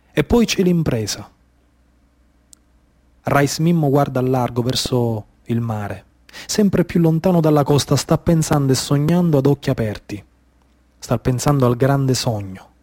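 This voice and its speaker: A man's voice: medium pitch, a little mellow, dry recording. A man with a Sicilian accent giving dramatic, declamatory scripted narration as a stage storyteller in short suspenseful phrases.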